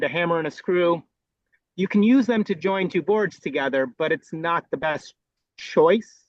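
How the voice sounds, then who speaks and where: A man sharing reflective thoughts in a group discussion, measured, with many pauses. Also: on the high side, no room sound, highs cut off. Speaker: discussion participant.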